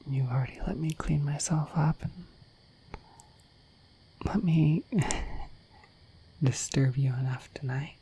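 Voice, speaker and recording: A woman voicing a shy, affectionate, dorky dragon in a bashful, hesitant breathy whisper for an ASMR roleplay. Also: low voice, slow pace, pauses often, mellow, slight background noise. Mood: hesitant, considerate. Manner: bashful, hesitant, apologetic, rambling.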